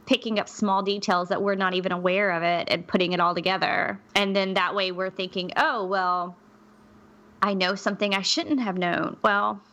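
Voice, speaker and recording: A woman's voice: mid-range voice, flat delivery, normal speed, sharp tone. A woman co-hosting a podcast in casual chat, skeptical and thinking aloud with frequent restarts. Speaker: podcast co-host.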